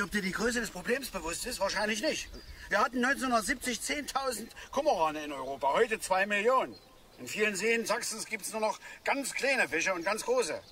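A cantankerous middle-aged angler in a radio drama. His pitch swings widely as he lectures and blusters, repeating words when he gets worked up. Outdoor noise with birds sits clearly behind him. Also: sharp tone. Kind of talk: naturalistic radio play acting.

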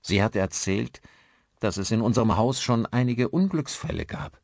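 A man's voice: mid-range voice, animated, moderate pace, a little mellow, no room sound, clean studio sound, natural voice. A man playing a polite, inquisitive newcomer to a village in an audiobook, speaking casually. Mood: curious.

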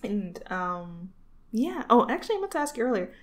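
A woman hosting a podcast interview, encouraging and quick to laugh in casual chat. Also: on the high side, sing-song. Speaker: podcast host.

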